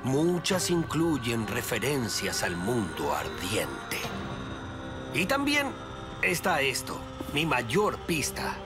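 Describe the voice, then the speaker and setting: A man playing an eager, smooth-talking, obsessive expedition leader in a cartoon, with a Latin American accent. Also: mid-range voice, huge pitch swings, slow pace, over music. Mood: mysterious.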